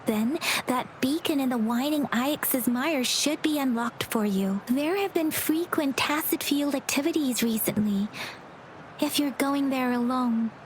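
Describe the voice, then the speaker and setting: Sweet high girl voice, polite n caring game character, faint noise behind. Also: unhurried, low-quality recording. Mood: caring, earnest. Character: earnest, caring young woman, a dutiful scout. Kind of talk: video game character dialogue.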